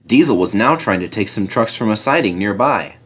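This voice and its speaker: A man reads a children's story aloud as narrator. His voice sits fairly low with a husky edge, and his delivery is expressive, with very lively intonation. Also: brisk.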